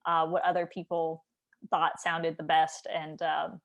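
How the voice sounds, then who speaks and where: A woman's voice: sits low, flat delivery, a little slow, creaky. A woman chatting casually and informally, as a writer hosting a book discussion, with "like" sprinkled through her talk. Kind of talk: casual conversational book chat.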